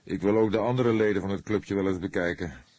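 Man acting an old male detective, low husky voice, measured, weary, wry, audiobook crime story. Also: no echo. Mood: thoughtful. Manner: slow, measured, deliberate, soft-spoken. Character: old male police detective, weary, thoughtful, gently sarcastic.

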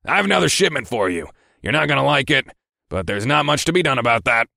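A gruff, spiteful male boss from an audiobook, acted out by a male narrator. The voice is gravelly and pushed well up in pitch, barking and snapping with irritable suspicion. It sits close and dry. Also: sing-song, quick pace, pushed and bright, no echo, heavily acted. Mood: sharp, grumpy. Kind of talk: audiobook character voice.

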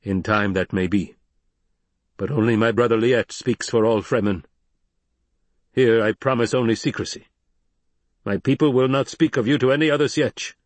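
A man voicing a stern, proud leader of a desert tribe, grave and forceful. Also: mid-range voice, normal speed, long pauses, no echo, close to an everyday voice.

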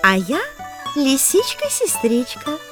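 A woman's voice: high voice, wildly swooping pitch, slow pace, over music, full character voice. A woman playing a sweet, coaxing female fox in a children's audio fairy tale.

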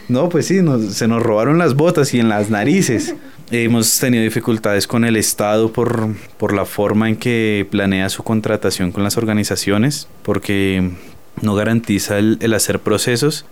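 A man's voice: on the low side, a little mellow. A Colombian man, a theatre director, speaking spontaneously and earnestly in an interview, often adding short tag questions.

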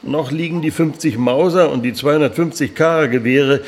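A man's voice: on the high side, normal speed, close to an everyday voice. An actor playing a grave resistance man in a radio play, formal and emphatic in delivery. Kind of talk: German radio play acting.

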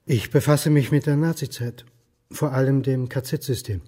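An actor playing a historian in his forties in a radio drama, terse, dry and quietly probing. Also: medium pitch, steady tone, a little slow, lightly acted. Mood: reserved. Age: 40s. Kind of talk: German radio drama acting. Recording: reverberant room.

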